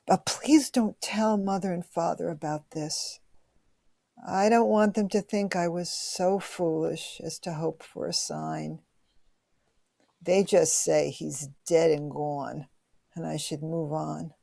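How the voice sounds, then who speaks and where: A woman's voice: low voice, fairly even intonation, slow pace, creaky, warm tone, dry recording, full character voice. A woman playing a grieving, hopeful young 1920s little sister in a radio play. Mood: hopeful, confiding.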